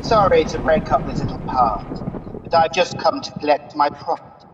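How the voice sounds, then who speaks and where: A man's voice: on the high side, normal speed, heavy background noise, natural voice. Man playing wicked demon king, muffled and echoing. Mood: smug, menacing. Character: wicked male demon king, scheming, cowardly when cornered. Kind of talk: radio pantomime acting. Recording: muffled, long hall reverb.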